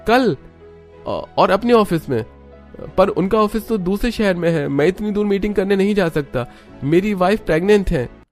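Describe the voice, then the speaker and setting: A man's voice: high voice, animated, normal speed, faint music behind, voice pushed up. A man voicing an earnest young husband and father-to-be in a cartoon story. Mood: worried.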